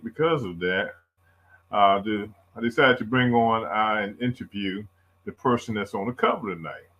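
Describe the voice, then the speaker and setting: Chatty bright-voiced male radio host. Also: lowish voice. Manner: chatty, rambling, lots of fillers. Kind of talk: casual radio interview. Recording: dull lo-fi sound.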